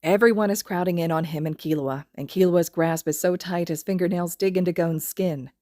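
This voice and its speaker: Lowish-voiced woman narrating, very dry sound. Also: a little fast, a little mellow, dry recording. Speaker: podfic reader. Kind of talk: scripted story narration.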